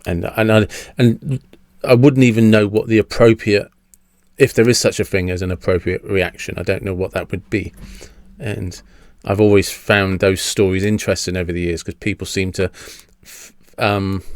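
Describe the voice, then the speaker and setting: A man in his fifties speaks in a fairly low voice, hosting a casual podcast chat. He rambles, repeating words and restarting sentences. The sound is dry and close to the mic. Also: moderate pace, no echo. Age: 50s. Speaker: podcast host. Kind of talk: casual conversational interview.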